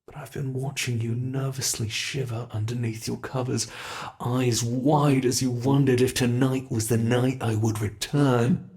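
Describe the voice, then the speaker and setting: Casting: teasing monster hunter played by a man, medium-pitched, gravelly and slow, close ASMR roleplay delivery, menacing yet playful. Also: level tone, darkish tone, natural voice. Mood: eager, predatory. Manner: slow, menacing, playfully sinister, savoring pauses. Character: teasing predatory male monster who hunts humans. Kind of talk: intimate ASMR roleplay monologue.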